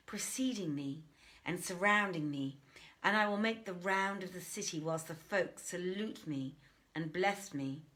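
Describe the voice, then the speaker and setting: A man's voice: sits high, fairly bright tone, exaggerated voice. A woman voicing a boastful, greedy glassware seller, a man musing grandly on future riches in a storybook tale. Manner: grandiose, self-absorbed musing. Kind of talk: dramatic storybook reading.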